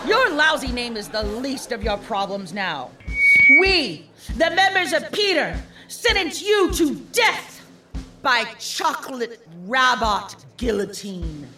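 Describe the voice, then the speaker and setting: A woman's voice: a little high, sing-song, slow, over music. A woman playing a mocking, furious robot rabbit revolution leader in a comedic radio play, theatrical and imperious.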